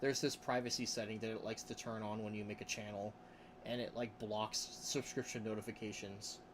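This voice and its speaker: A man's voice: level tone, normal speed. Rambling male streamer, faint background hiss. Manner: rambling, with restarts and frequent laughs; says his voice range is pretty high. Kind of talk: casual livestream chat, reading chat aloud.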